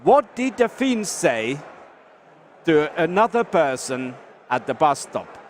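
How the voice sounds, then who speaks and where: A man with a Finnish accent hosting an awards ceremony, giving a formal speech with deliberate, self-deprecating jokes. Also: a little high, sing-song, slight background noise. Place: historic hall, live event.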